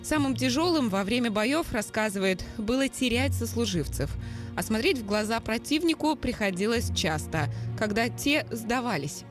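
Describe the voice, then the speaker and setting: A woman reporter reading scripted news narration in a measured, solemn voice-over. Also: a little high, lively intonation, over music.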